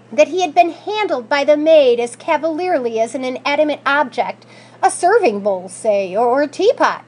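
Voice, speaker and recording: A woman reading a children's story aloud in an expressive, scripted narrating style. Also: high voice. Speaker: school staff member reading aloud.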